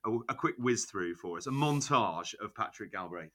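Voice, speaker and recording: A man hosting a podcast interview, chatty and enthusiastic, quick to praise his guest. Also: on the low side, expressive, a bit bright, a little hiss or hum. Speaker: podcast host.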